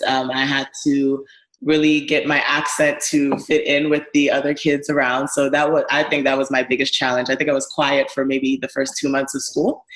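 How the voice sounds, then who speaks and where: Woman's voice in an echoey room. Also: deep voice. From Ghana. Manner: friendly, laughs easily, relaxed storytelling. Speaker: guest, small business owner. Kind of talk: casual interview conversation.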